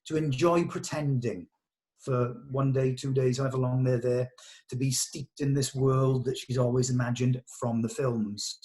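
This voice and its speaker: A man's voice: medium pitch, darkish tone. Earnest British man briefing actors. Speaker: director leading a table read. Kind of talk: informal character briefing to actors.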